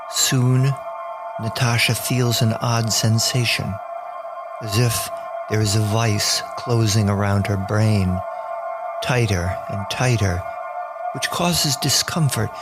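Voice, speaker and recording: Guy narrating a story podcast, gravelly, takes his time, music playing behind. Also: mid-range voice. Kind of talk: scripted audio drama narration. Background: music bed.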